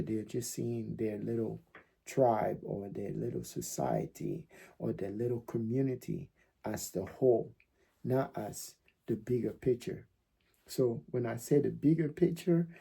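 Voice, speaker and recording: Man hosting his own channel, talks slow and deliberate, repeats himself a lot, kinda husky. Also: medium pitch, mellow. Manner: slow and deliberate, with repetitions and restarts. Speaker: channel host. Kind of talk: solo commentary monologue. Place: United States.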